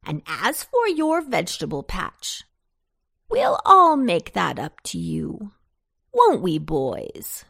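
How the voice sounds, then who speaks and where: Woman as a wheedling, jolly pumpkin leader, slow and swooping. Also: fairly low pitch, wildly swooping pitch, creaky, fairly bright tone, slightly rough, dry recording, studio quality, heavily acted. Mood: friendly, commanding. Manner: wheedling, emphatic. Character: jolly, persuasive male pumpkin, leader of the vegetables. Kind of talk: children's storybook character voice.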